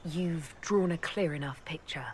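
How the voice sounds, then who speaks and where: An actress playing a composed, caring elf mage leader with a wry streak in a video game. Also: female voice, deep voice, unhurried, slight background noise. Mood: composed, supportive. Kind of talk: game cutscene dialogue.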